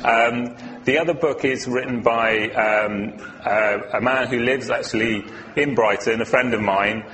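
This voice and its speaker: A man's voice: medium pitch, steady tone, unhurried, a bit bright, a little hiss or hum. A man from Wales giving an earnest, informal teaching talk as a guest speaker at a church, with a few fillers.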